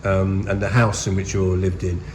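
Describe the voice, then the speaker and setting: A man asks casual interview questions, haltingly rephrasing them as he goes. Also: low voice, level tone, darkish tone, a little hiss or hum.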